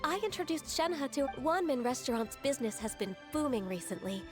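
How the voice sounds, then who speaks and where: An actress playing a gentle, dutiful young woman in a video game, speaking courteously and earnestly. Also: high-pitched, very animated, a little fast, music in the background. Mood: reassuring.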